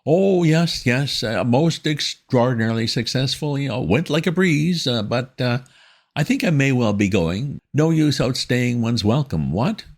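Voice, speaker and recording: A man voicing a dithering young upper-class English gentleman in a storybook reading, pitched well above his own voice and stammering. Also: lively intonation, unhurried. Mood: flustered.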